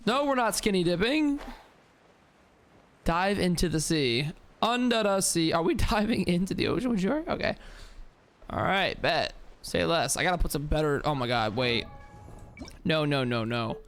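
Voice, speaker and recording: A woman's voice: fairly low pitch, expressive, moderate pace, pauses often. A young woman streamer giving playful, slangy, chatty commentary while playing a game. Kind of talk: casual let's-play commentary.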